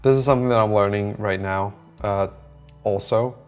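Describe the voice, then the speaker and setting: A man's voice: lowish voice, steady tone, moderate pace, a little mellow, smooth, faint music behind. A man talking casually in a vlog, thinking aloud in a rambling, reflective way and often trailing off mid-thought. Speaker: app developer documenting his work on a vlog.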